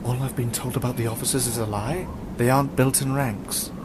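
An actor playing a questioning, defiant captive worker in a dystopian audio drama, wary and inquisitive. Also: male voice, mid-range voice, steady tone, brisk, very noisy, lightly acted. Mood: wary, challenging.

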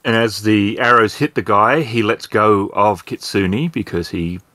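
A man's voice: on the low side, a little slow, bright, forceful tone, no echo. A man improvising the action of a tabletop role-playing game as narration.

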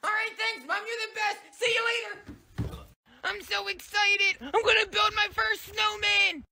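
A man doing shrill little boy Billy. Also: a little slow, gravelly, background noise, exaggerated voice. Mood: shouty excited. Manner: shrill, shouty, tattling.

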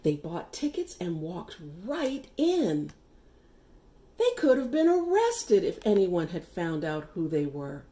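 A middle-aged woman reading a children's picture book aloud with deliberate, emphatic, dramatic phrasing. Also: medium pitch, mellow. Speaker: read-aloud storyteller.

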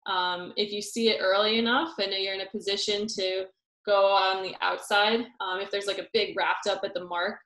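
A young adult woman, an Olympic sailor, reading out questions and answering them in a friendly, hesitant way, with lots of pauses over a video call. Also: medium pitch, fairly even intonation, a bit bright. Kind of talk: casual online Q&A. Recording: echoey room on a video call.

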